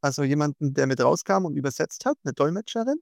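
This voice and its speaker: A man's voice: a little high, expressive, fast, vocal fry, darkish tone, dry recording, clean studio sound. A man hosting a podcast interview, asking listener questions hesitantly with many fillers. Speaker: podcast host.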